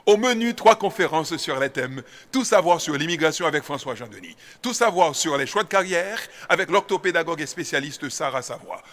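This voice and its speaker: A man's voice: a little high, expressive, fairly quick, hardly any pauses, a bit bright. A man reading a promotional radio advertisement as its announcer, listing services and contact details.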